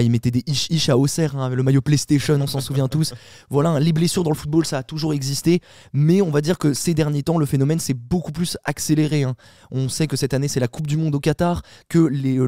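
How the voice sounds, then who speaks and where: A man talks quickly in a dark, mellow voice, recorded close and dry with no room sound. He is a podcast panelist telling a story in an animated way, restarting sentences and filling pauses as he goes. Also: medium pitch, dry recording. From Italian heritage. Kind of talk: casual podcast chat.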